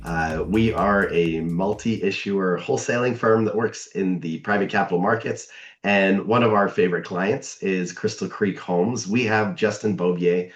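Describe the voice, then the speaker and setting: A man with a hoarse, scratchy voice, slightly low-pitched, leading a business interview. He talks haltingly with many fillers, in an echoey room. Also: muffled recording, faint music behind. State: hoarse from a cold. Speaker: firm president hosting a video call.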